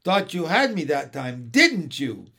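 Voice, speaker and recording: A man's voice: fairly high pitch, very animated, unhurried, gravelly, bright, forceful tone, heavily acted. A man voicing a cocky, wicked starling with a taunting, mocking manner in a storybook reading. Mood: jeering.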